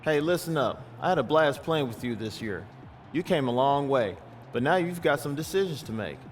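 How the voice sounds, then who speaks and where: A man's voice: on the high side, animated, moderate pace, soft background music, close to an everyday voice. A man playing a supportive, encouraging basketball teammate in a video game cutscene, talking casually with slang. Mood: warm encouragement.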